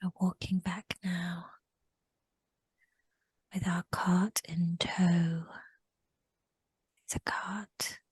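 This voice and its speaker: A woman whispering a slow first-person recollection in a guided session, often repeating short phrases. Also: on the low side, flat delivery, darkish tone, husky, no echo.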